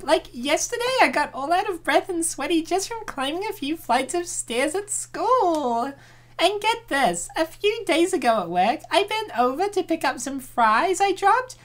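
A woman playing a fretful young college woman in a comic dub. Her voice sits very high and swoops around a lot. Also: full character voice. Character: anxious young woman student, self-conscious.

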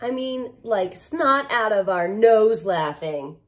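A woman in her thirties reading a picture book aloud like a teacher, expressive and playful, with casual asides and emphasis on key words. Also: medium pitch, takes it slowly. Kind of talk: animated children's read-aloud with casual asides.

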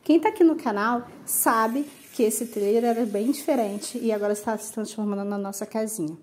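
A woman's voice: on the high side, moderate pace, a little mellow, slight background noise. A woman in her thirties with a Brazilian accent, talking casually and earnestly as a vlog host, encouraging her viewers. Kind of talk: casual vlog talk and narration.

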